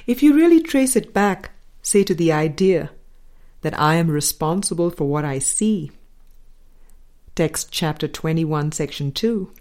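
Woman audiobook reader, spiritual text, unhurried and very slow, slightly low voice, deliberate pauses. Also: mellow. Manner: measured, deliberate, with pauses between short phrases. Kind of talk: scripted reading of a spiritual dialogue.

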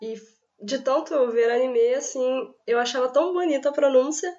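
A woman's voice: high voice, moderate pace, a little mellow. A young woman from Brazil, a student sharing a heartfelt testimonial. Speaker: listener and language student. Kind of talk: recorded testimonial. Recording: dull lo-fi audio.

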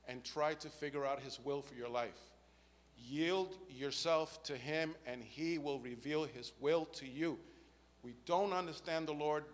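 A man's voice: medium pitch, long reverb tail. A man, giving an earnest spoken faith testimony and often checking in with "right?" and "okay?". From the Bronx, New York. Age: 50s.

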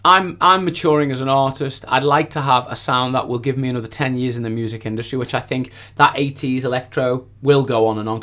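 Male recording artist, mid-pitched voice, chatty and informal, relaxed studio interview delivery. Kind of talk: casual studio interview chat.

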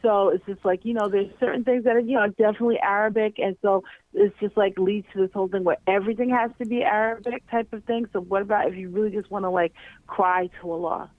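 A woman's voice: mid-range voice, steady tone, quick pace, no room sound. A woman in casual conversation as a guest, chatty and full of fillers and restarts. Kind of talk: casual chat. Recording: tinny phone line.

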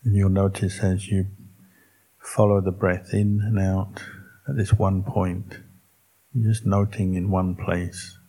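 Deep, husky man guiding meditation slowly. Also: rough recording. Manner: calm, measured, with long pauses between short phrases. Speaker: Buddhist monk teaching meditation. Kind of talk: guided meditation instruction.

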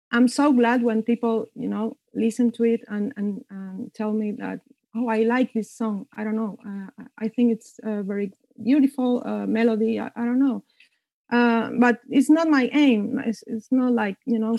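A woman composer from Cuba answering interview questions thoughtfully, pausing often and searching for words. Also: fairly high pitch, vocal fry, darkish tone, no room sound. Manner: halting, searching for words, frequent hesitations. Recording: dull lo-fi dry sound.

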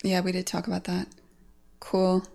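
A woman hosting a casual podcast chat, frank and animated, swearing casually as she talks about game development. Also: on the low side, normal speed, creaky.

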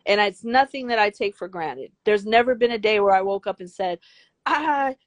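An older woman with a high, girlish voice, speaking bluntly and emphatically as an interview guest, swearing freely. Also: expressive, normal speed, no echo. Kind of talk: candid interview talk.

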